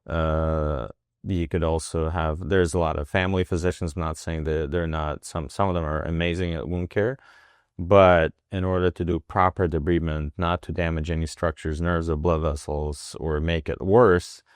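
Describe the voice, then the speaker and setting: A man, a physician answering interview questions in a halting way, with many fillers and restarts. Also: rumbling low, little pitch movement, clean tone, dry recording, studio quality. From Russia. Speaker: wound care physician. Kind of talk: interview guest.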